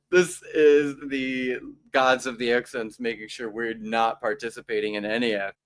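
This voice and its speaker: A man's voice: medium pitch, level tone, takes it slowly, pauses often, a bit bright, clear, resonant tone. A young man hosting a casual podcast chat, joking and playful, often stumbling and restarting his words. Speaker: podcast host.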